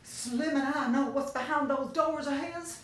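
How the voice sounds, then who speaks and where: A woman's voice: high voice, unhurried, voice pushed up. A woman voicing a frightened old storybook neighbour, shuddering and exaggerated as if giving an ominous warning. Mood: fearful, ominous. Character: frightened, shivering old married neighbours, dramatic warners. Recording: very echoey room, faint noise.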